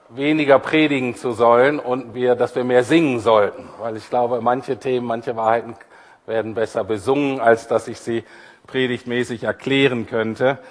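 A man preaching in church, his voice a little hoarse from a cold, talking in a relaxed way with many fillers. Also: mid-range voice, big-room sound. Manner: informal, self-deprecating, with many fillers. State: has a cold, voice a bit husky. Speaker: pastor. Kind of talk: prayer and sermon.

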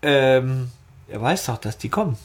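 A man's voice: mid-range voice, expressive, unhurried. A man co-hosting a podcast, talking through an audio drama casually and argumentatively, often questioning and checking back with his partners. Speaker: podcast co-host.

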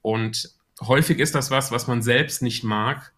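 A man's voice: medium pitch, measured pace, fairly bright tone. A man with a Hessian accent, a podcast guest talking about marketing in a thoughtful way with many filler sounds. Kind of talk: casual podcast interview.

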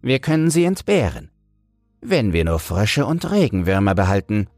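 A man's voice: on the low side, very animated, normal speed, darkish tone, no echo, faint music behind, pitched above the performer's own voice. A man voicing a naive little female toad in a storybook, exclaiming with wonder and yearning. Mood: dismissive. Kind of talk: storybook character voice.

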